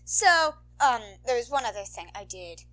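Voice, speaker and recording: High, bright, bubbly young woman. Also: very animated, moderate pace. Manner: bubbly, playful, rambling. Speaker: podfic reader and channel host. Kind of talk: casual chatty outro to listeners.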